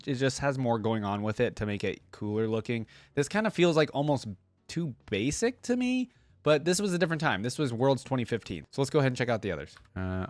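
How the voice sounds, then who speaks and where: A man's voice: mid-range voice, dry recording. A man giving casual reaction commentary as an online host, enthusiastic and informal in a conversational way.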